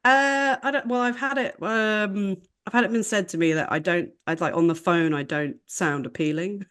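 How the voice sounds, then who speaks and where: A young woman hosting a casual podcast chat, talking in a flat, low-sounding way and laughing often. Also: normal speed, clean tone. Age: young adult. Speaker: podcast host.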